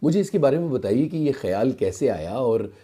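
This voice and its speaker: A man hosting a formal radio interview in a studio, asking questions in a polite, measured way. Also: medium pitch, very animated, dark tone, slightly rough.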